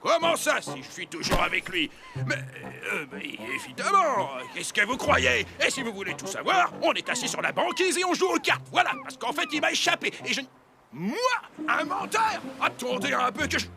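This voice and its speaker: A man's voice: extremely high pitch, sing-song, moderate pace, gravelly, a crowd in the background, heavily acted. An actor playing a bumbling, boastful, touchy male villain in a French cartoon dub, with an exaggerated delivery. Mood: defensive, indignant. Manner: exaggerated, indignant, wheedling. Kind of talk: French animated series dubbing. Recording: music behind the voice.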